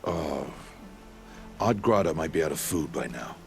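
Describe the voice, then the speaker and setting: An actor playing a stern middle-aged male outcast mentor in a video game, speaking in a deep, grave, measured and terse way. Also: animated, gravelly, a little mellow, soft background music, full character voice. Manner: solemn and terse. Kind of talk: video game cutscene acting.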